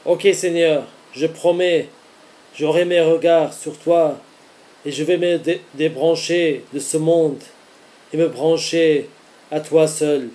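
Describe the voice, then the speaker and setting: A male preacher with a fairly high, mellow voice gives devotional teaching. He goes very slowly, phrase by phrase, in an earnest and deliberate manner. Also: steady tone. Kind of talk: devotional teaching with scripture reading.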